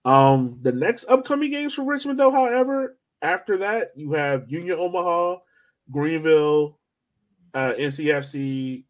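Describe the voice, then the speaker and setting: A man hosting a soccer podcast, chatting casually and slangily as he thinks out loud. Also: fairly high pitch, expressive, measured pace. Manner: conversational, slangy, thinking aloud. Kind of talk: casual sports discussion.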